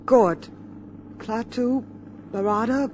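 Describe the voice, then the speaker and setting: A woman's voice: a little high, slow pace, darkish tone, a little hiss or hum. An actress playing an anxious, earnest woman with a breathless, emotional delivery in mid-century American radio drama. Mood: careful reciting. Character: anxious, earnest young woman aiding an alien. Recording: old radio recording with dramatic music.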